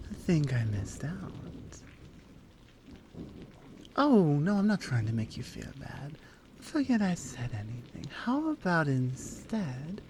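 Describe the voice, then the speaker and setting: A woman voicing a flirty, confident lioness in an intimate ASMR roleplay, breathy and unhurried, drifting into whispers. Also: deep voice, warm tone, a little hiss or hum, exaggerated voice. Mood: gentle, apologetic, then flirty, relaxed.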